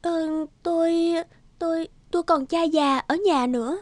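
A woman voicing a slim young peasant woman, guarded and earnest, in an animated moral tale. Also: very high-pitched, unhurried, dry recording. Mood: shy, hesitant.

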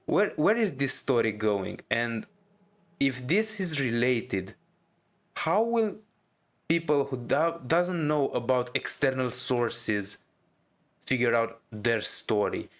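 Slow-paced man giving casual game reactions. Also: mid-range voice, expressive. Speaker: gaming streamer.

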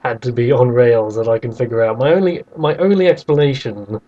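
A man hosting a podcast, chatting casually and with opinions about video games, in an animated way. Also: medium pitch, fairly quick, phone-quality sound. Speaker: podcast host.

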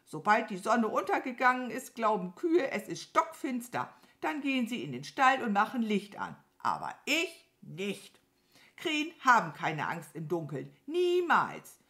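A woman's voice: fairly high pitch, big pitch swings, unhurried, fairly bright tone, voice pushed up. A woman voicing a grumpy, contrary, boastful crow in a children's storybook, with a snappy, grumbling manner. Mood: boastful, scornful.

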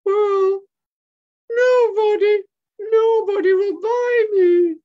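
A forlorn lady monkey, played by a man in a children's story, in a high tearful voice. She wails and stretches her words out in misery. The sound is a little muffled. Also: steady tone, heavily acted. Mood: wailing. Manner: wailing, drawn-out.

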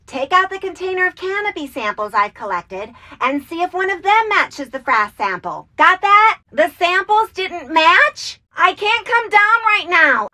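Game role: eccentric, bossy woman researcher, piercingly high, forceful, brusque. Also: full character voice. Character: bossy, eccentric female field insect researcher. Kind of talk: video game character acting.

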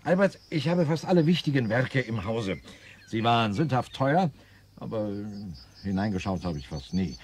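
A man's voice: mid-range voice, moderate pace, slight background noise, lightly acted. A man playing a shrewd lawyer in a 1970s radio play. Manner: lively, reasoning aloud.

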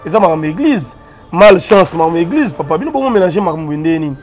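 A Congolese man with a slightly high voice hosts a TV interview. He greets the viewers, then puts quick questions to his guest between short acknowledgements. Faint music runs in the background. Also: expressive. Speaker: TV journalist, interviewer.

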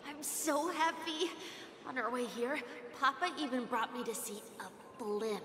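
A woman's voice: high-pitched, measured pace, a bit bright, a little hiss or hum. A woman voicing a cheerful, innocent little child in an anime game, sweet and earnest. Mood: happy. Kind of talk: video game voice acting. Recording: game music behind.

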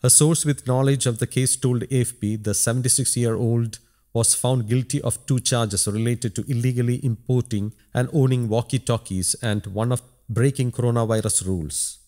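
A man's voice: mid-range voice, a little mellow. A man narrating a scripted news report as a voice-over.

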